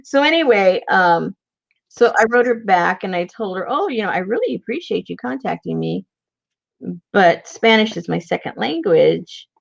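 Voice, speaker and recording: A woman's voice: medium pitch, big pitch swings, moderate pace, vocal fry, muffled recording. A woman hosting an art tutorial, chatting casually in a rambling way and muttering to herself as she works. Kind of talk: casual chat while painting.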